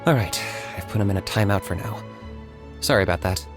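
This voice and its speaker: A man's voice: sits low, a little fast, natural voice. A man playing a stern, blunt but protective young warrior in an anime game, terse and serious. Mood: firm. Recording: music behind.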